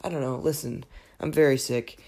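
A podcaster with a raspy voice, under the weather, rambling through a self-deprecating, jokingly exasperated film rant. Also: medium pitch, fairly even intonation, moderate pace, dark tone. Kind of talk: casual rambling film commentary.

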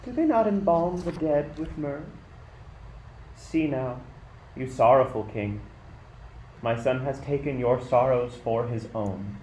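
A man playing a humble, devout young mother in an amateur radio play, speaking in a solemn, measured, reverent way. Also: medium pitch, mellow, lightly acted. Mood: solemn, grateful. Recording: echoey room with background noise.

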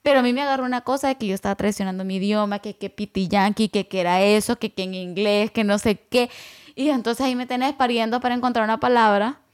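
A woman's voice: mid-range voice, steady tone, quick pace, smooth. A young adult woman musing aloud in casual conversation, rambling with lots of fillers and slipping in English words.

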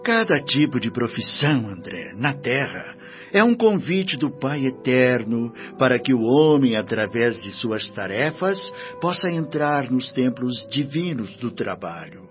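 A stern, wise spirit minister admonishing a disciple in a radio drama. His speech is formal and measured, with expressive, swinging pitch. The recording is slightly dull, with faint music underneath. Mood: solemn, instructive. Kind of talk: radio drama acting, preachy dialogue.

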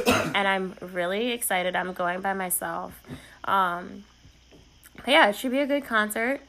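Creaky, bright-voiced young woman co-host. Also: mid-range voice, unhurried, poor audio. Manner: self-deprecating, hesitant trailing-off. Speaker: podcast co-host. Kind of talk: casual banter.

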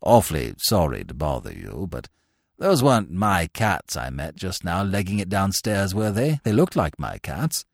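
A man's voice: low-pitched, huge pitch swings, normal speed, no echo, clean studio sound, pitched below the performer's own voice, exaggerated voice. A man voicing a mild, apologetic young Oxford student with an upper-class English accent and a hesitant, chatty, slangy manner. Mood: apologetic, sad.

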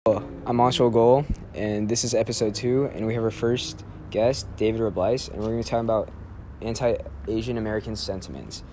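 A man's voice: fairly low pitch, fairly even intonation, moderate pace, warm tone, a little hiss or hum. A teenage boy hosting a student podcast, talking through a history topic in a loose, rambling way, full of fillers and self-corrections. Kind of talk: casual spoken presentation and interview.

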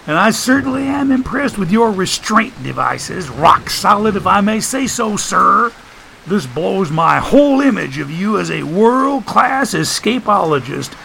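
Man putting on a high-voiced sarcastic wisecracker. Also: big pitch swings, unhurried, gravelly, pushed and bright, a little hiss or hum, exaggerated voice, low-quality recording. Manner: mangled big words, theatrical sarcasm. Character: wisecracking, mock-pompous man, folksy and sarcastic.

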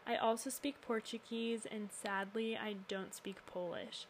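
A woman from the United States with a fairly high voice hosts a faith podcast. She speaks in a personal monologue, earnest and reflective in her delivery. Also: fairly even intonation, measured pace, a little hiss or hum. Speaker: podcast host.

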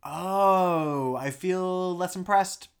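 A man co-hosting a chatty podcast, joking and teasing his co-host in quick banter. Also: high voice, clean tone. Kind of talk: casual chat.

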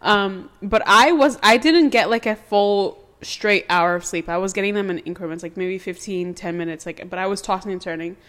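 A woman's voice: mid-range voice, normal speed, few pauses, a bit bright. A young woman co-hosting a podcast, telling a story in casual chat, laughing often and acting out what people said. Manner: laughs often, acts out quotes. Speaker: podcast co-host.

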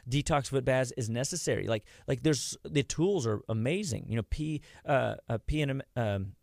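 A man's voice: medium pitch, moderate pace, gravelly, a little mellow, no room sound, studio quality. A man, giving a rambling, folksy talk about health as a wellness clinic host. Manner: rambling, folksy, loses his train of thought.

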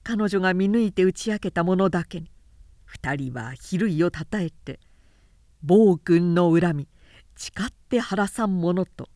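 A woman narrator reading literary fiction from a script in a measured, dramatic storytelling manner. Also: lowish voice, warm tone, dry recording, clean studio sound. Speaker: narrator, professional reader.

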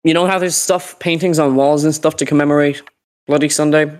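A man's voice: on the high side, fairly even intonation, brisk. A young man with a cold, telling a story in a casual podcast chat, rambling with many fillers and restarts. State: sick, sweating. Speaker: podcast co-host.

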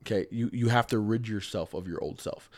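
A man's voice: fairly low pitch, brisk. A man who stutters, a podcast guest talking earnestly and with emphasis in a casual conversation.

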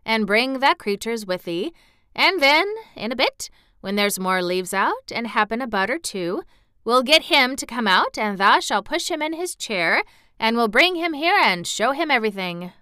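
A spirited young girl, as a woman puts her on, proudly shapes her words in broad Yorkshire dialect. Her voice sits high and bright, with a clean, crisp edge. The sound is close and dry. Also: expressive, moderate pace, no echo. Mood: eager.

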